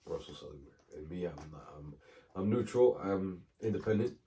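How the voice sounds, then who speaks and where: A man hosting a casual podcast, hoarse from a cold, loose and slangy, repeating himself as he talks. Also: deep voice, expressive, fairly quick, dark tone. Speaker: podcast host. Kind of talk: casual chat. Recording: distant mic with faint room noise.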